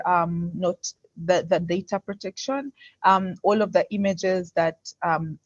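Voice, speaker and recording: A woman giving a candid answer as a guest speaker on an online call, speaking in a hesitant, thoughtful way. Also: on the low side. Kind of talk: candid spoken answer in an online question session. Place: indoors on an online video call. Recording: muffled, roomy online call audio.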